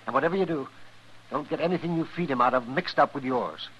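A man playing a folksy, crusty town doctor in an old radio western, with an American accent and an expressive delivery. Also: mid-range voice, quick pace, lightly acted. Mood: grave, urgent. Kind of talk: 1950s radio western acting. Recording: dull, hissy old radio recording.

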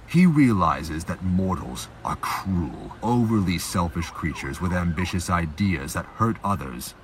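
Narrator for fan audio drama: male, deep register, dramatic scripted read, slight noise in the recording. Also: animated, a little slow.

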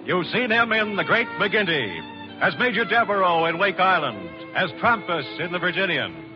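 High-pitched American radio announcer, dramatic. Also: male voice, very animated, normal speed. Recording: muffled old radio recording, music behind. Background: music.

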